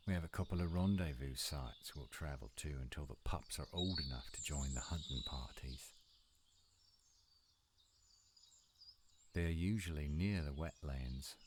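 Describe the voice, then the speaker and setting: An extremely low-pitched, gruff werewolf lover acted by a man murmurs through a romantic audio roleplay. He teases in a folksy, easygoing way and slips in endearments. Loud birdsong and insect noise from the woods surrounds him throughout. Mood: teasing, explaining. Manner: teasing, folksy, drawling endearments. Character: gruff tsundere male werewolf, flirtatious, laid-back romantic. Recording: noisy outdoor ambience with birdsong, insects.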